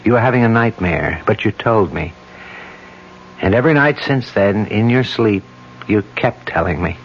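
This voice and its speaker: A weary, low-voiced cop. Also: male voice, expressive, normal speed, slight background noise. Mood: somber. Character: weary male police detective, quietly loyal husband. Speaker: police detective. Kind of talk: 1970s radio drama acting. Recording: dull lo-fi 1970s radio recording.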